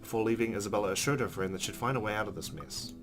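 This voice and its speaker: A male let's play host with a lowish voice reads game narration aloud. He phrases it like a storyteller, with clear guitar music playing behind him. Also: very fast. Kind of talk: reading visual novel narration aloud. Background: background music with guitar.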